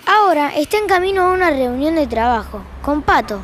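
A high-pitched female storyteller with an Argentine accent narrates an audio drama. She is wry and conversational, as if sharing domestic gossip. Music plays clearly behind her voice. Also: expressive, fairly quick, a bit bright. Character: wry all-knowing female narrator of a married couple's squabbles. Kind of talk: scripted fiction podcast narration.

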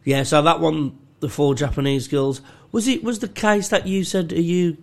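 A man's voice: on the high side, steady tone, moderate pace, gravelly. A young man, a comedian in a casual podcast chat, talking in sweary, rambling stretches full of restarts and tag questions. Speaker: comedian guest.

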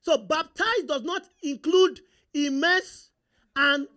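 A man with a Nigerian accent, Yoruba his first language, preaching a sermon. He talks in a fairly high voice and keeps throwing questions at the congregation, prompting them to answer and confirm they are following. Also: slow, bright, forceful tone. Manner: call-and-response, rhetorical questions to the congregation.

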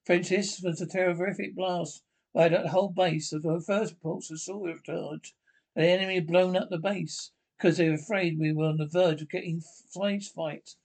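A man reading a radio drama script aloud, halting and stumbling over words, repeating some and reading out the speaker names. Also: high voice, fairly even intonation. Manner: reads speaker names aloud along with the lines. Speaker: amateur script reader.

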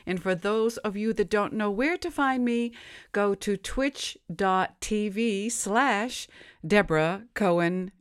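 A woman hosting a live-streamed devotional show, speaking in a gentle, unhurried way as she teaches and reads aloud. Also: medium pitch. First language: English. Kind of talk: slow, deliberate teaching and reading aloud.

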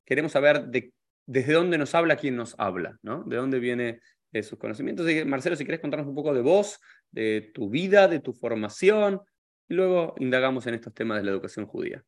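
Man, podcast host, chatty and friendly, mid-pitched voice. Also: lively intonation, a little fast. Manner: friendly, talkative, candid. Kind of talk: interview hosting.

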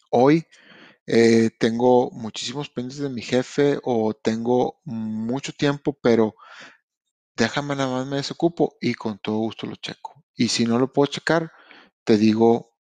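Mexican man, podcast host, casual and blunt advice, mid pitch, dry close sound. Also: a little mellow, no echo. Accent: Mexican Spanish. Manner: frank and slangy, addresses listeners directly, with restarts. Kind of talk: casual motivational podcast monologue. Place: lives in Canada.

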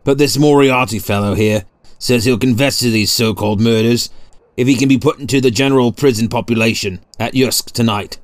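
Gruff constable, played by a male narrator, blunt, tense, colloquial, lower-spoken, storybook dialogue, dry close sound. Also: brisk, no echo, lightly acted. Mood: tense, grim. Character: powerfully built, tense, gruff police constable.

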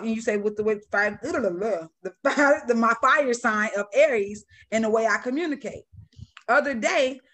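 A woman with a Chicago accent and a deep, raspy, gritty voice, co-hosting a casual chat about spirituality and speaking with authority. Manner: animated, laughs often, speaks with authority. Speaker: podcast co-host.

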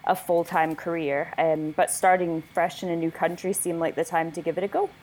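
A woman from Canada with a Canadian accent tinged with Scottish, chatting relaxed and laughing easily as a podcast guest over a remote call. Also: on the low side, normal speed, slight background noise, rough recording. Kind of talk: casual interview chat.

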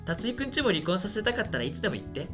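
A man playing a shy, lonely university student in a radio drama, mild and hesitant with a deadpan streak. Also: high-pitched, rapid-fire, music in the background. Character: shy lonely male university student, soft-spoken, quietly scheming. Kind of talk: radio drama dialogue and first-person narration.

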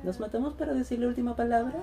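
A woman's voice: on the high side, rapid-fire, warm tone, faint music behind, close to an everyday voice. A young Chilean woman acting a restless, troubled girl in a radio theatre piece. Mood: urgent. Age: young adult. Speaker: student performer.

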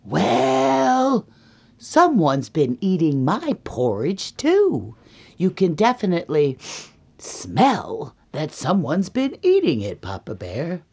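Woman acting an emphatic, lively storybook mother bear. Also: on the low side, takes it slowly, gravelly, husky, voice pushed up, full character voice. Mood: indignant.

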